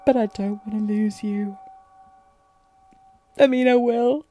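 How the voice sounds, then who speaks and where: A woman playing a lovesick warrior queen, regal yet fragile, in an intimate fantasy audio drama with formal, emotive, halting delivery. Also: mid-range voice, fairly even intonation, mellow, faint music behind, close to an everyday voice. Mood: anxious. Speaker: audio roleplay performer.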